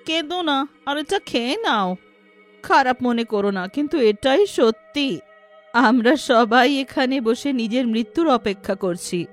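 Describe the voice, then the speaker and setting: নারীকণ্ঠে উঁচু গলার ক্লান্ত, সহৃদয় বৃদ্ধাশ্রমবাসী. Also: female voice, high-pitched, animated, a little slow, faint music behind. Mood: resigned consoling. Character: resigned, kindly old-age-home resident, abandoned by children.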